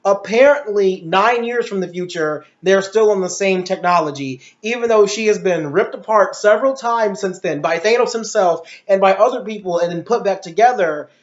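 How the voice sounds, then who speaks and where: A man's voice: high-pitched, normal speed, hardly any pauses. An adult man giving a casual, opinionated movie review in a blunt, exasperated, slangy way. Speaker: video reviewer and host. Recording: echoey room, dull lo-fi audio.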